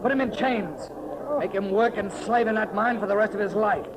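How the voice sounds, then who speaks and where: An actor in a 1930s radio serial playing a bold, commanding hero emperor with a declamatory, decisive delivery. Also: male voice, medium pitch, animated, quick pace, lightly acted. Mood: defiant, commanding. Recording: noisy old 1930s radio recording.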